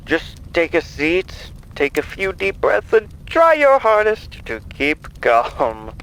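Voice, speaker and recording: A sinister, gleeful villain in an audio drama, rather high and wildly swooping in pitch. He takes his time, teasing and soothing in a theatrical, mocking way. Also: wildly swooping pitch, slow pace, vocal fry, a bit bright, smooth, exaggerated voice, poor audio. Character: gleeful, mocking, sinister male mastermind on the phone.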